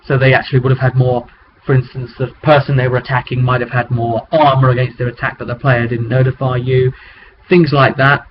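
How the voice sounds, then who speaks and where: A man talking casually and haltingly as a game master recapping a tabletop game, rambling with conspiratorial asides. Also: mid-range voice, flat delivery. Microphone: webcam microphone. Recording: muffled webcam mic with background noise.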